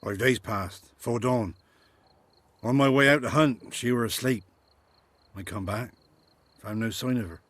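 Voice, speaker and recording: Defensive huntsman for a fantasy game: mid-pitched, rustic, clipped, troubled, dry sound. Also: a little slow, long pauses, a bit bright, no room sound. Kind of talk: video game voice acting.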